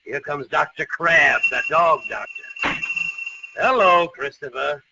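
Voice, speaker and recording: An actor playing a blustery, fussy older uncle in a 1940s radio comedy. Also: male voice, a little high, slow, creaky, recorded from a distance. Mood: bemused. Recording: muffled, noisy old radio recording.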